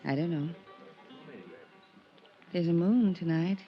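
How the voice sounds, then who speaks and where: A woman's voice: lowish voice, takes it slowly, soft background music. An actress playing a coy, flirtatious woman in a noir radio drama, teasing in 1950s radio style. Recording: dull old radio recording.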